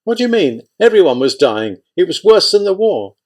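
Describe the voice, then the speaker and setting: A man's voice: high-pitched, very animated, normal speed, a little mellow, pitched above the performer's own voice. A man voicing an indignant old village woman. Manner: pleading and indignant. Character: old Ukrainian village woman, kindly but bitter.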